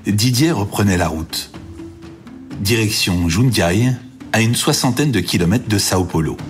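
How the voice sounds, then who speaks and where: Male narrator, low voice, measured scripted documentary voice-over, music underneath. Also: expressive. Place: voice-over studio.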